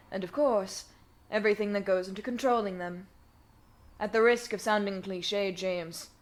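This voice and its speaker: A woman's voice: medium pitch, pushed and bright, natural voice. A woman voicing a smug, resentful genius scientist villainess in an animation fandub, with a haughty, articulate, theatrical delivery. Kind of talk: dramatic animation fandub acting.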